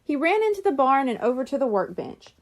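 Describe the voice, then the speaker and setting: A woman narrating a children's storybook in an animated, scripted reading style. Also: high-pitched, fairly quick. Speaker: audiobook reader.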